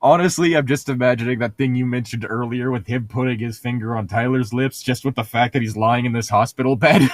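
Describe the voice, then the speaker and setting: A man co-hosting a casual reading podcast, with a medium-pitched voice and a close, dry sound. He moves between reading aloud and offhand chat, sarcastic and irreverent throughout. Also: fairly even intonation, fairly quick, few pauses, no echo. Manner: sarcastic, irreverent, crude joking. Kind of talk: casual group chat with mocking read-aloud.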